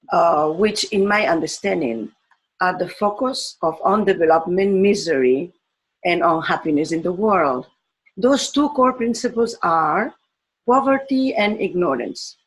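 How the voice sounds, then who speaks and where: A woman's voice: mid-range voice, low-quality recording. An older woman from Spain with a Spanish accent, a teacher explaining her ideas on education with fillers and restarts. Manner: slow and deliberate, with fillers and restarts.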